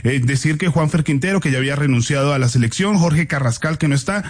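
A man giving the sports news on a radio news show in a brisk, informative way. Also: on the high side. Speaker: sports reporter.

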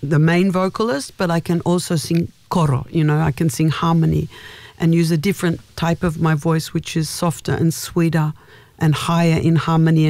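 Deep-voiced woman, halting radio guest. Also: a little mellow, no echo. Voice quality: naturally deep voice. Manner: thoughtful, halting, many restarts. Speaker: guest singer. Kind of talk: radio interview. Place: radio studio.

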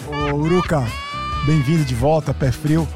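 A man's voice: a little high, steady tone, normal speed, music in the background. A Brazilian man on a sports panel, trading casual, teasing banter. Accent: Brazilian Portuguese.

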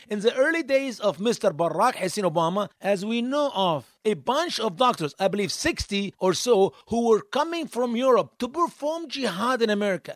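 A man delivers a spoken monologue in a high voice that swings widely up and down. He talks emphatically, tossing out rhetorical questions and then answering them. The sound is close and dry, with no room around it. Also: no echo. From Egypt. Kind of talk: monologue commentary.

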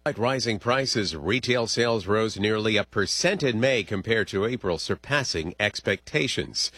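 A male radio news anchor with a somewhat low, husky voice and a sharp, forceful edge. He reads the bulletins in a measured way, and the recording is close and dry. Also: lively intonation, no echo. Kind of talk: news reading.

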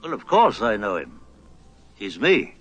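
Old wise hermit knight guy, super low voice, calm slow delivery. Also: slightly rough, soft background music, exaggerated voice. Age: older.

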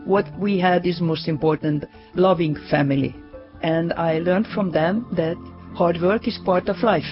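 An older woman with a Hungarian accent recalls her life in a personal, reminiscing way. The recording is slightly dull, and music plays clearly behind her. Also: fairly low pitch, a little slow. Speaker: scientist recalling early life. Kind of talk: personal recollection.

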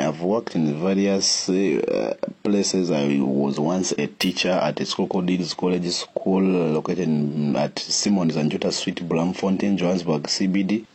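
A man telling his own story in a steady, matter-of-fact way. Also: low-pitched, husky. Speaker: community leader. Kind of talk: personal testimony.

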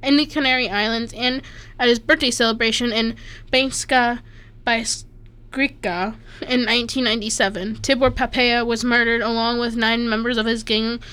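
A woman's voice: fairly high pitch, steady tone, normal speed, sharp tone, clear, resonant tone. A woman from Slovakia casually reading an article aloud, stumbling over names and adding offhand asides.

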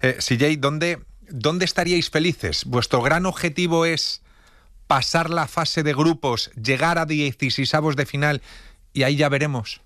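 A man's voice: fairly high pitch, unhurried, a bit bright, no room sound, studio quality. A man interviewing a footballer, friendly and encouraging, with frequent filler sounds. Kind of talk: sports interview.

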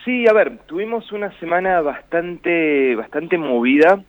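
A man's voice: on the high side, measured pace, no room sound, narrow, tinny audio. A man from Buenos Aires with a Rioplatense accent and a slight northern lilt, giving finance commentary on the radio, rambling and restarting often. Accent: Rioplatense Spanish with a slight northern Argentine lilt. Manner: rambling, with frequent restarts and fillers.

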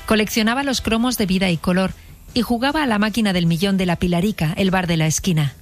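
A woman's voice: medium pitch, fast talker, faint music behind. A woman reading scripted biographical narration as a documentary narrator.

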